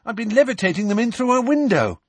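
Man voicing a high, sheepish schoolboy prankster. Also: sing-song, fast, breathy edge, no room sound, heavily acted.

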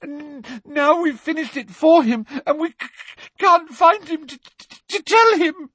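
A crying teen girl, way up high, choking and stammering on every other word, shrill and tearful, with a husky edge to the voice and no room around it. It sounds like an audiobook. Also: lively intonation, unhurried, pushed and bright, dry recording, full character voice. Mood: sobbing stammer. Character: sobbing teenage schoolgirl, frightened, stammering. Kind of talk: audiobook acting.

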